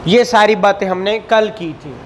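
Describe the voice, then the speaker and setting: A man's voice: sits high, normal speed. A man with a Pakistani accent lecturing on law to a class in a didactic way, putting questions to students and repeating key phrases. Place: indoor classroom. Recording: echoey room with clear background noise.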